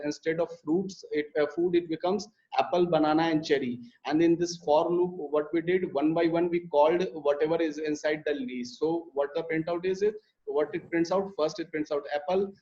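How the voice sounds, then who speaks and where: A young man walks through code in a live online lesson, his voice a little high and soft at the edges. He stumbles often, filling gaps with hesitations and restarting his sentences. The audio is dull and lo-fi, like a computer mic on a stream. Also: fairly even intonation, moderate pace. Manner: hesitant, many fillers and restarts while explaining code. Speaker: programming instructor.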